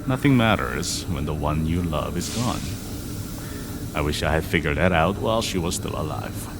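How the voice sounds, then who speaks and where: A wise, gruff father who has lost his wife speaks very low and blunt. He gives advice with gentle weight. Steady background noise sits under this audio drama. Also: normal speed. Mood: advising.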